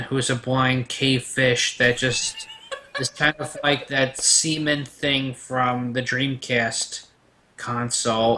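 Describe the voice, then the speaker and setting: A man's voice: mid-range voice, sharp tone. A man on a debate panel, chatting casually and giving his opinions in a blunt, irreverent way.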